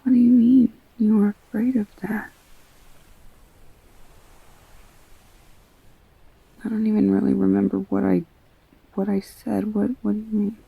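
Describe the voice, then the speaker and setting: Soft, dark-voiced, creaky girlfriend played by a woman. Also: mid-range voice, level tone, dry recording, exaggerated voice, low-quality recording. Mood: concerned, earnest. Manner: soft, halting, soothing, often near whispering. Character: tender, reassuring girlfriend comforting an upset partner. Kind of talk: intimate girlfriend roleplay audio drama.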